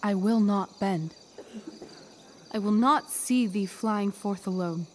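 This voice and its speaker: A woman's voice: mid-range voice, a little mellow, slight background noise, close to an everyday voice. A woman voicing a teenage girl in a video game who recites Shakespeare on stage as an imperious sorceress. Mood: earnest, pleading. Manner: imperious, deliberate archaic delivery. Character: teenage girl playing a commanding sorceress in a school play.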